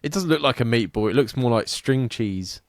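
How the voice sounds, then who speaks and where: A young man jokes around as a podcast co-host, giggling and running himself down. His voice sits mid-range and sounds dry and close, with no room behind it. He swears loosely and talks in quick, rambling bursts. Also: medium pitch, animated, no echo. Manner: giggly, self-deprecating, swears casually. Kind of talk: casual banter.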